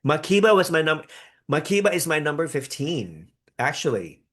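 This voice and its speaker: A man's voice: a little high, big pitch swings, normal speed, fairly bright tone. Chatty man live-streaming a reaction. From Philippines. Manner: chatty and rambling, many restarts, upbeat bursts. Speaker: podcast host reacting live to a pageant. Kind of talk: live-stream reaction chat reading viewer comments.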